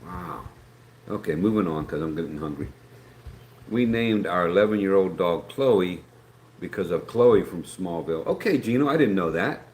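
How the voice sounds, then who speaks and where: A man's voice: sits low, expressive, moderate pace, a little mellow. Folksy Appalachian man, echoey room. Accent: Appalachian American. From West Virginia, USA. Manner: rambling, folksy, reads chat aloud. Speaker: live stream host. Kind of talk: casual live stream chat. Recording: echoey room with faint background noise.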